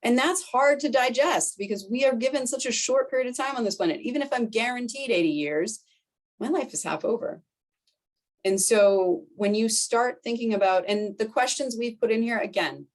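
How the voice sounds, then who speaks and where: A woman in her forties with a Boston accent, giving a chatty, conversational presentation to fellow professionals, full of "right?" and "like". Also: on the low side. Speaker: foundation director presenting to colleagues. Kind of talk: conference presentation.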